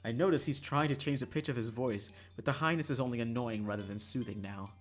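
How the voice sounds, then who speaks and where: Expressive game streamer over music. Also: mid-range voice, fast talker. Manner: expressive, breaks into exasperated profane asides. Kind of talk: reading a visual novel aloud on a livestream. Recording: music behind the voice.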